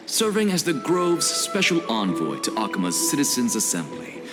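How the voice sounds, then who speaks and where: A man voicing a defiant, self-assured scholar lord in a fantasy video game, speaking with eloquent, formal arrogance. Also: on the low side.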